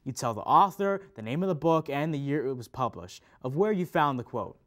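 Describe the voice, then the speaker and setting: A man's voice: fairly high pitch, lively intonation, a little fast, fairly bright tone. A man playing a pedantic teacher in an instructional play, stressing key words in a didactic way. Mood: instructive. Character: pedantic male teacher patiently correcting a student. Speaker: readers theater performer. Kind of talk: scripted instructional stage dialogue.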